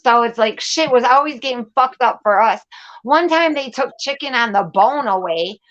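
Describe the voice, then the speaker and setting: Higher-pitched woman, blunt and slangy. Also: animated, normal speed, fairly bright tone, lo-fi sound. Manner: profane, slangy, blunt storytelling. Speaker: talk show panelist recounting prison life. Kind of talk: candid casual chat.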